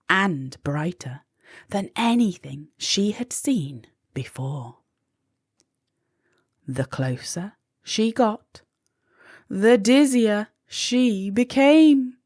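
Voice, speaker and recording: A woman narrating a children's storybook expressively, with deliberate pauses between phrases. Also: on the high side, dry recording, studio quality.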